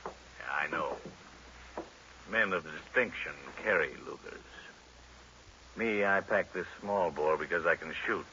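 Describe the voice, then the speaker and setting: A man's voice: low-pitched, a little slow, long pauses, sharp tone. An actor playing a big, unruffled gunman making laconic threats in an old-time radio noir drama. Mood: calm menace. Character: big, cool, menacing male gunman. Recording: hissy, dull vintage radio recording.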